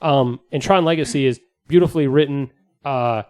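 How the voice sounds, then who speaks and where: A man co-hosting a podcast in casual chat, enthusiastic and sweary, with halting phrasing. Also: mid-range voice, darkish tone. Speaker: podcast host.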